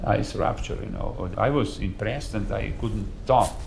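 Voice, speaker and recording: Guy, super slow and halting interview talk, echoey with a bit of noise. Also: on the low side, vocal fry, darkish tone. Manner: halting, searching for words. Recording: roomy echo, faint ambient noise.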